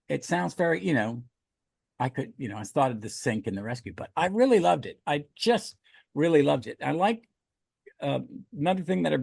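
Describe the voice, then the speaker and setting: A man's voice: fairly high pitch, vocal fry, darkish tone, slightly rough, no room sound, studio quality. A man hosting a podcast in casual review chat, talking in a rambling, enthusiastic way. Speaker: podcast host.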